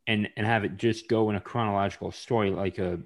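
A man hosting a hobby podcast interview, speaking haltingly with many fillers and restarts. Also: on the low side, fairly even intonation. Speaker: podcast host. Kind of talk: casual interview.

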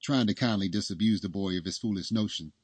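A man narrates a scripted story as a storyteller. His voice is fairly low and husky, recorded dry with hardly any room sound. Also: fast talker, a little mellow, no room sound.

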